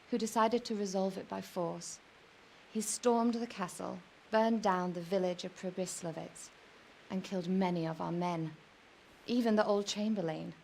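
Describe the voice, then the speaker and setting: An actress playing a young, pious noblewoman in a medieval video game, speaking with measured sympathy. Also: lowish voice, gravelly, darkish tone, a little hiss or hum, poor audio. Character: gentle, pious medieval noblewoman married to an older lord. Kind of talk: dramatic game cutscene acting.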